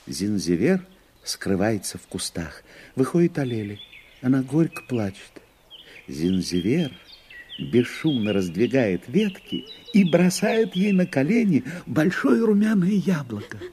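A mellow man's voice of medium pitch narrating a fairy tale. He is a calm storyteller with lively intonation, heard over light noise and birdsong. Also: measured pace. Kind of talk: scripted narration.

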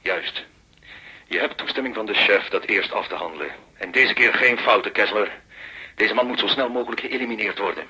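Radio drama handler of assassins: low, very forceful male voice, curt, cold, in command. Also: brisk, husky, exaggerated voice, poor audio. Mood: stern, cold. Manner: curt, authoritative, businesslike.

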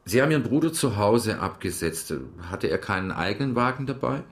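An actor in a German radio crime drama, speaking as a calm, probing investigator and storyteller. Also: male voice, fairly low pitch, brisk.

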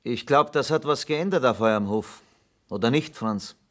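An actor playing a patient, probing investigator in a German radio play, measured and insistent. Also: male voice, mid-range voice, natural voice. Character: patient, probing investigator questioning a farm boy.

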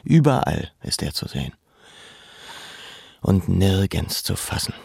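Low, creaky male literary narrator. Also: big pitch swings, measured pace, very noisy.

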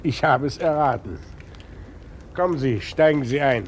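An actor playing a curt, probing police commissioner in a 1950s German radio play. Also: male voice, medium pitch, expressive, a little slow. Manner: terse, probing questions. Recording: old, faintly noisy 1950s radio recording.

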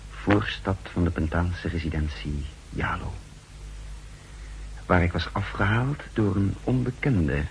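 Deep-voiced, grim secret agent narrator. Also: male voice, a little slow, creaky, pauses often. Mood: grim, measured. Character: male secret agent narrating his mission, wary, contemptuous. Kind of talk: 1960s Dutch radio drama narration. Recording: noisy 1960s radio recording.

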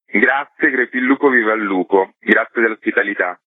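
A man explaining a programme as a radio interview guest, speaking a little haltingly. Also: fairly low pitch, music in the background. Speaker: guest, festival curator. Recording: muffled, phone-like narrow band.

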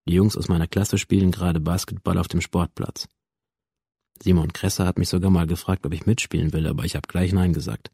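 An actor playing a detached, sardonic teenage loner who narrates his thoughts in a deadpan, laconic way for a radio drama. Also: low voice, a little fast, creaky, slightly rough, no echo, studio quality, full character voice. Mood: detached, dry. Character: dead teenage school shooter's digital memory copy, detached, sardonic loner. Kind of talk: radio drama, first-person inner narration.

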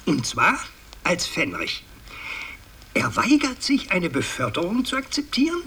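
An actor playing a pompous, fussy older aristocratic patriarch in a radio drama, speaking in a halting, ceremonious, long-winded way. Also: male voice, high voice, wildly swooping pitch, pushed and bright, slightly rough, full character voice. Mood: solemn, fussy. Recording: distant, noisy 1960s radio recording.

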